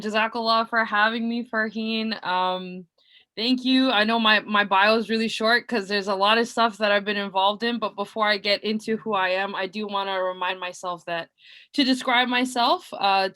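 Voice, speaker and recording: A young woman from Nova Scotia with a loud voice introduces herself as a guest speaker on a video call from her bedroom, talking earnestly with frequent ums and uhs. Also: medium pitch, fairly even intonation, moderate pace. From Nova Scotia, Canada. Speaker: community organizer and guest speaker. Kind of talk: personal self-introduction at an online panel.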